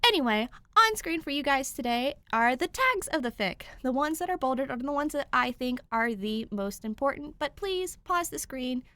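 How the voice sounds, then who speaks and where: A woman's voice: high voice, expressive, normal speed, few pauses, sharp tone, no echo. A woman hosting a channel, talking casually and informally to listeners with emphatic stresses. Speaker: podfic reader and channel host.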